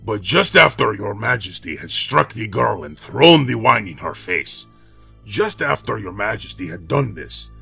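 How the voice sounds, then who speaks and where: A man voicing a crippled dwarf jester, who answers the king in a subdued, halting way. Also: lowish voice, very animated, gravelly, bright, forceful tone, faint music behind, heavily acted. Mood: tranquil. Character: crippled male dwarf jester, bewildered and quietly defiant.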